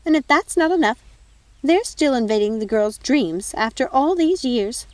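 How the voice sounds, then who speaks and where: Brief: storybook fairy godmother, old, prim and bossy, very high and swooping, full of officious indignation. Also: expressive, normal speed, darkish tone, dry recording. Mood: officious outrage.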